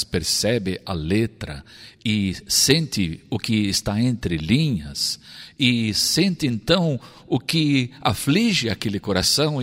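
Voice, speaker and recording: Fervent radio pastor, reverberant. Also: male voice, medium pitch, a bit bright. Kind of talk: radio sermon and appeal. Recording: long reverb with faint music.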